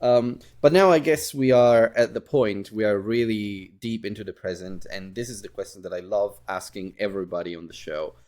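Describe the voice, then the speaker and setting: A man hosting a podcast, interviewing in a casual chat with halting phrasing and repeated words. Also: on the low side, darkish tone. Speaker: podcast host interviewing indie game developers.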